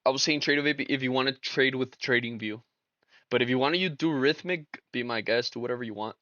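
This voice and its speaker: A man's voice: mid-range voice, fast, bright, forceful tone, dry recording, lo-fi sound. Slangy young man streaming his trades. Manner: slangy, laid-back, talks to chat as boys and brother. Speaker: livestream trader and host. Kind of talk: casual live-stream chat while trading.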